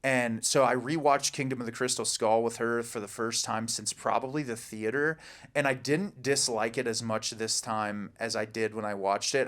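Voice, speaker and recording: A man's voice: mid-range voice, a bit bright. A man in his thirties or older co-hosting a podcast, talking about films in an informal, enthusiastic way. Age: 30s or older. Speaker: podcast co-host. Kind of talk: casual film discussion.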